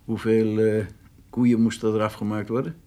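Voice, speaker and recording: An actor playing a shrewd, reassuring insurance agent in a radio drama, matter-of-fact and persuasive, pausing to think aloud. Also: male voice, on the low side, flat delivery, mellow, lightly acted. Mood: businesslike. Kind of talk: naturalistic radio drama acting.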